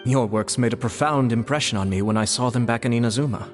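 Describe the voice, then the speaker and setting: Formal, dignified man, medium pitch acted. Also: quick pace, faint music behind, close to an everyday voice. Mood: respectful. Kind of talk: animated video game voice acting.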